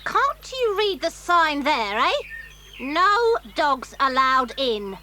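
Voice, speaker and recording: Crabby old gate woman for a kids audio play, sharp high voice, bossy, noisy background. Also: very animated, takes it slowly, full character voice. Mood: stern, suspicious. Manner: curt and officious. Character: funny, grumpy old woman guarding a castle gate. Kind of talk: children's audio drama acting. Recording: clear background noise with animal sounds.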